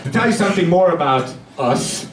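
A young man from Hungary performs stand-up in a lively, swooping, conversational delivery that stops and restarts. He is picked up from a distance in an echoey club, with loud audience noise around him. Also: high voice. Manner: conversational, halting, with acted-out dialogue. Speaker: stand-up comedian. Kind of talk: live stand-up comedy routine. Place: small dark comedy club stage, audience present. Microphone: handheld stage mic heard from a far camera.

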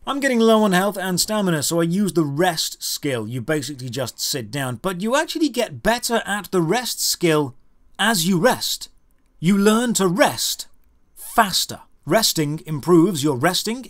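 A man narrating a scripted comedic video game review with sarcastic, exasperated emphasis. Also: sits high. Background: background music.

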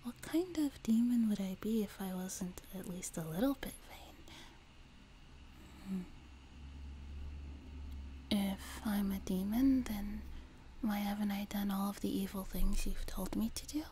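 A woman voicing a sweet, teasing, doting young demon girl in a soft, breathy whispered ASMR roleplay, close to a binaural mic. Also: mid-range voice, a little hiss or hum, natural voice. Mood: playful, smug. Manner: gentle, slow, teasing, intimate. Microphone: close binaural microphone.

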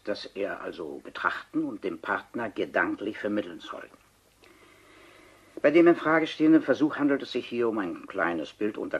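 Husky, pedantic retired official. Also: male voice, medium pitch, lo-fi sound, heavily acted. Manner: lecturing, precise, formal. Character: retired civil servant, pedantic, eager amateur telepathy researcher. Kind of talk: German radio crime drama dialogue.

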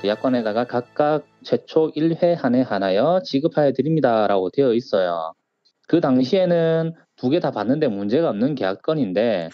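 A man's voice: medium pitch, lively intonation, normal speed, soft background music. A man explaining things as an insurance agent in an interview on a muffled phone recording.

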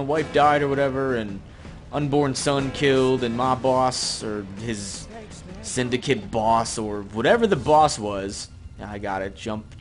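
A young man giving casual gameplay commentary, rambling and sarcastic with some swearing. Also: mid-range voice. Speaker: gaming video host. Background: game music and effects.

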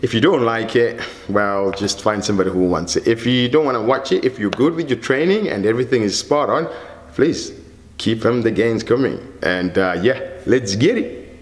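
A man in his thirties, a fitness coach giving a casual, animated lesson to camera with loose conversational phrasing. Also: medium pitch, moderate pace, in a large hall. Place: plain indoor studio backdrop.

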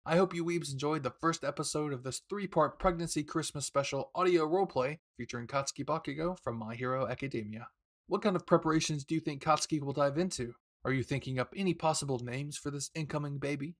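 A man with a husky edge to his voice speaks as an online creator. He addresses his fans playfully in an upbeat, scripted sign-off. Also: a little high, quick pace. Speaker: online audio roleplay creator, host. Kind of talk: scripted upbeat channel outro.

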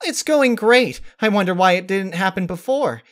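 A man voicing a bubbly, blunt female cartoon pony party planner with an excitable manner. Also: sits high, normal speed, voice pushed up. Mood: cheerful.

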